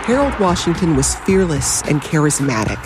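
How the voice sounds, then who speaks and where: A narrator reading a scripted documentary narration with lively intonation. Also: medium pitch, normal speed, over music.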